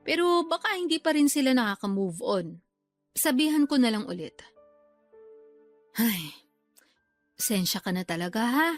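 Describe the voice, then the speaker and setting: A woman's voice: on the high side, sing-song, moderate pace, long pauses, soft background music. A woman acting a defensive, wheedling older sister and new grandmother in a radio drama, proud and unapologetic. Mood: excusing. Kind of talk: radio drama dialogue in colloquial Tagalog.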